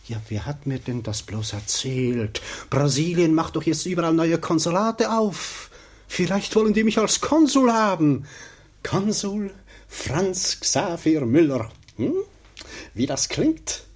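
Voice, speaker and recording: A man's voice: a little high, animated, unhurried, husky. A man playing a pompous, fretful everyman who mutters to himself in a 1950s radio comedy monologue, swinging between grand hopes and grumbling doubt. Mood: daydreaming, puffed up. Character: pompous, fretful everyman daydreaming aloud of riches and status.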